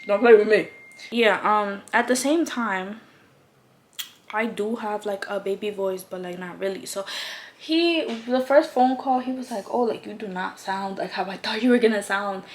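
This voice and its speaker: A woman's voice: medium pitch, normal speed. A young woman vlogging while she does her makeup, telling a candid story in a chatty way, with lots of fillers and swearing, in a slightly babyish voice. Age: young adult. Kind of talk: casual get-ready-with-me storytime. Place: home, doing makeup.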